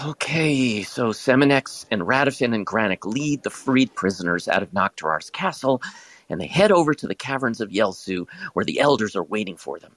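A man's voice: fairly low pitch, expressive, moderate pace, fairly bright tone, dry recording. A man playing a shy, imaginative schoolboy in an audio drama, with a hesitant manner. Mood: dreamy. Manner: hesitant, apologetic. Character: shy, dreamy schoolboy who draws comics.

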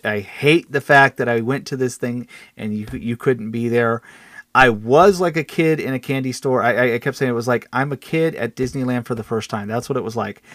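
A man's voice: medium pitch, fairly bright tone, no echo, clean studio sound. Chuckling, rambling man chatting casually. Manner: rambling, halting, self-interrupting, chuckles while talking. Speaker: podcast co-host. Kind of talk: casual chat and storytelling.

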